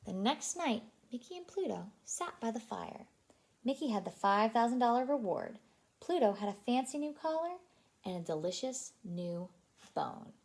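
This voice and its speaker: A woman reading a story aloud to young children as a childcare storyteller, expressive and animated. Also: a little high, normal speed. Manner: animated, asks listeners questions.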